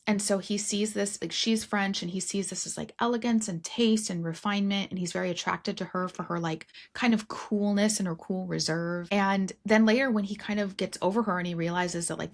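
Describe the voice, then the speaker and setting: Animated, frank woman chatting about books. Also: mid-range voice. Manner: animated quoting and role-playing of imagined dialogue, frank and joking; uses "like" frequently and swears casually. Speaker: podcast host. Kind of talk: casual book discussion.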